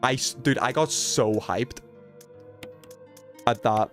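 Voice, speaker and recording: A man doing casual, excitable gaming commentary, thinking aloud in slang as he chats with stream viewers. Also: medium pitch, slow, soft background music. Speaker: video game livestreamer.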